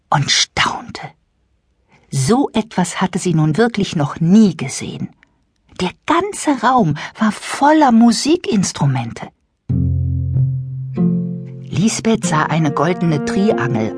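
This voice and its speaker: A woman narrating a scripted children's audiobook with expressive, careful storytelling. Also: fairly low pitch. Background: musical instruments and music.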